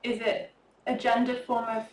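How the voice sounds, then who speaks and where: A woman's voice: a little high, completely flat delivery, normal speed. A woman moderating a panel discussion, hesitant with many ums. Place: public talk room. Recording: roomy far-off mic with faint room noise.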